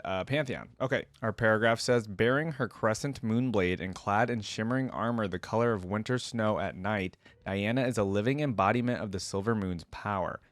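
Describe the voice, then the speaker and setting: Man, fairly low voice, dry sound, informal reaction host, story reading and casual fan commentary. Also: no echo. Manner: informal, slangy.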